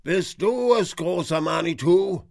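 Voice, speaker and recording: Man as a gravelly, solemn village father. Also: high-pitched, measured pace, a little mellow, no echo, studio quality, exaggerated voice. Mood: awed. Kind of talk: children's audio play.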